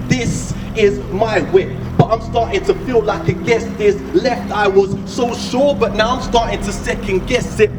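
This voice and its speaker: A man performing spoken word poetry in a rhythmic, expressive, conversational delivery. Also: high-pitched. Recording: echoey, distant, loud music behind.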